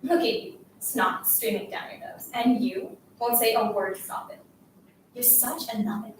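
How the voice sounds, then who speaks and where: A woman's voice: a little high, normal speed, natural voice. A woman voicing a bullying schoolgirl in a dramatic story reading, scolding and demanding with emphatic, desperate insistence. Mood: disgusted. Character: bullying schoolgirl, taunting, desperate, commanding a silent classmate. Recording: echoey room, distant mic, faint noise.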